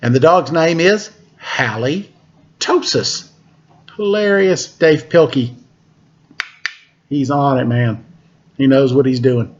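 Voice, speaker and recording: A man hosting a children's story time, reading a picture book aloud in an expressive, dramatic way with casual asides. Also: mid-range voice, slow pace, long pauses, lo-fi sound. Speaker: storyteller and show host.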